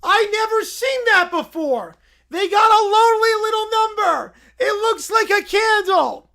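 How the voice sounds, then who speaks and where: A woman's voice: very high-pitched, expressive, measured pace, bright, forceful tone, voice pushed up, heavily acted. A woman voicing a whiny childlike male bear cub who talks in broken English. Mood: excited, whiny. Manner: whining, squealing, broken English.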